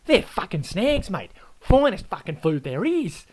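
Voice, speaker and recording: A man's voice: sits high, sing-song, moderate pace, fairly bright tone, dry recording, pitched above the performer's own voice, full character voice. A man voicing a blustering host who swears and mocks his guest. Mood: taunting. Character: loud brash Australian male host, bullying.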